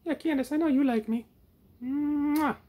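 Squeaky, high-pitched puppet creature. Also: male voice, a little slow. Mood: playful teasing. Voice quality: squeaky, strained falsetto. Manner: excitable, cheeky, teasing. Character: silly, gluttonous, cheeky fuzzy puppet creature. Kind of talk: improvised puppet show.

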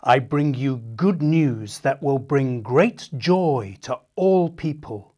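A man voicing a solemn, reassuring storybook angel, proclaiming deliberately. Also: mid-range voice, expressive, warm tone. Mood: solemn, joyful. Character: solemn, reassuring angel announcing great news.

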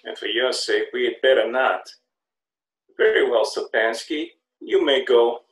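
A man's voice: medium pitch, expressive, a little slow, fairly bright tone. An older actor playing a mock-polite, wordy, menacing gangster's henchman in a radio play. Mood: polite menace. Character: smooth-talking, sardonic, menacing gangster's henchman. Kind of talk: old-time radio play acting. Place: small home room, video call. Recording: roomy home video call.

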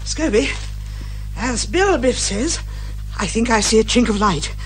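Brisk, bossy British lady sleuth, animated. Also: fairly high pitch, soft background music. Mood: smugly explaining. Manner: confident, brisk, lecturing. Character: eccentric, bossy female amateur sleuth, brisk and hearty. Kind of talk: 1980s radio comedy detective drama acting.

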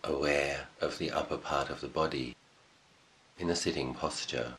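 A man leading a guided meditation as a teacher, speaking calmly and in measured short phrases with long pauses. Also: rumbling low, a little hiss or hum, low-quality recording.

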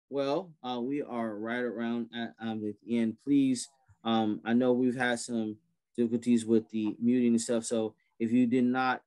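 A man's voice: medium pitch, a little mellow. A man in his thirties hosting an online prayer event over a video call, talking in an easygoing way with many fillers and restarts. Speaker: event host.

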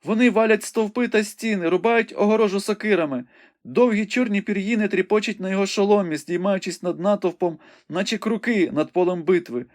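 A man putting on a fervent young woman, high and strained, crying out the fight below. She sounds breathless and exclaiming, each line rising in alarm or awe. The voice sits far above a man's usual register. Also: brisk, lightly acted. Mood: urgent, fervent. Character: young woman watching a battle from a window, fervent. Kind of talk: audiobook reading.

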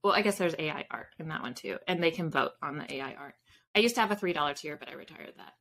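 A woman's voice: deep voice, fast, fairly bright tone. A woman in her thirties chatting casually as an interview guest on a video call. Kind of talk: casual chat. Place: indoors in a room with bookshelves, on a video call.

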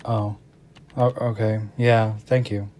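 A man's voice: on the low side, level tone, takes it slowly, a little mellow, a little hiss or hum. A man playing a nervous, disgruntled employee in an audio drama, flustered and stammering. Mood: distracted, uneasy. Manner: stammering, protesting.

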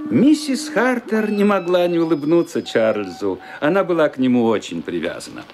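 Expressive male radio-play narrator. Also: a little high, moderate pace. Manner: expressive and storytelling, building suspense. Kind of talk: scripted literary narration in a radio drama. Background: violin music.

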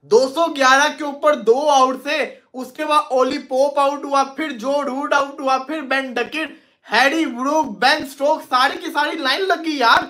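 Very high, bright-voiced Pakistani man, emphatic. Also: steady tone, measured pace. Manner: emphatic, repeats phrases for effect. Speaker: cricket commentator. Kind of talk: animated cricket commentary to camera. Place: small indoor room.

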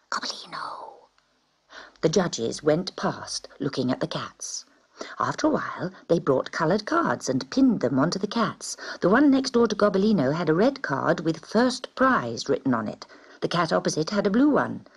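A woman reading a children's story aloud in an expressive, dramatic way. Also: low voice, slightly rough.

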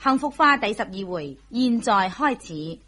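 A woman's voice: mid-range voice, lively intonation, measured pace. A woman with a Hong Kong Cantonese accent reading a scripted announcement as a radio drama announcer. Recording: loud music behind the announcement.

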